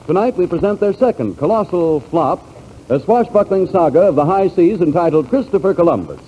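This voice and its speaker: Mellow, lively 1940s male radio announcer. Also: high-pitched, brisk. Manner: mock-grand, theatrical. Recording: muffled, noisy 1940s radio recording.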